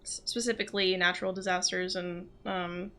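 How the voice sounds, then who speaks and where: A woman's voice: fairly low pitch, steady tone, moderate pace, sharp tone. A young adult American woman in casual, rambling livestream chat as she plays a game. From southeastern United States. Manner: chatty, rambling, loosely jumping between thoughts.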